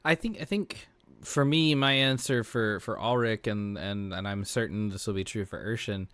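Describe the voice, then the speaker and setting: A man's voice: on the low side, moderate pace, a bit bright, no room sound. A man chatting casually on a roundtable, informal and emphatic, repeating words as he thinks aloud. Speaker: podcast regular, tabletop role-playing player.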